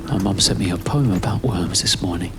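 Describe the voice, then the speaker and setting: A British man giving an intimate spoken-word narration in a hesitant, musing way, in fragmented phrases with pauses. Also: deep voice, background noise. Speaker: writer-performer narrating his own piece.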